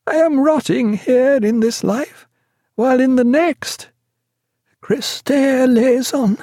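A man voicing a terrified, feverish sick gentleman who pleads in distress. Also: extremely high pitch, slow, no echo, clean studio sound, pitched above the performer's own voice, full character voice.

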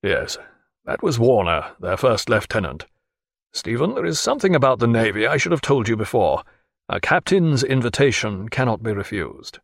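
A man doing a low, steady naval captain. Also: creaky, no room sound, studio quality. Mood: calm. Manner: low, calm, measured.

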